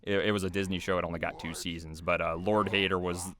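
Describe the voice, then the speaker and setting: A man's voice: sits low, level tone, fast talker, a bit bright. A man giving casual, unscripted behind-the-scenes commentary in a rambling, hesitant way.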